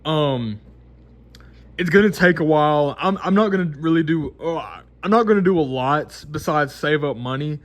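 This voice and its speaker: Young man, 20, rambling vlog monologue, slightly high-pitched. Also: animated, normal speed. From Mississippi, American South. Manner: rambling, many restarts and fillers. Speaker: vlogger. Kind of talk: casual unscripted monologue.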